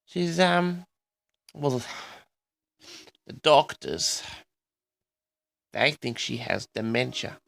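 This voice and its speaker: Male solo audio-log host. Very gravelly, swinging intonation, halting monologue addressed to listeners, dry close sound. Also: medium pitch, lively intonation, a bit bright. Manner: halting, pausing often, repeatedly addresses the audience. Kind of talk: rambling solo monologue to an audience.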